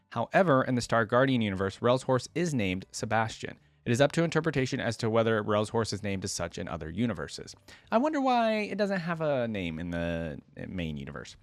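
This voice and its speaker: A man's voice: medium pitch, dry recording. A man hosting a reaction video, giving casual, informal and chatty commentary. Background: faint music.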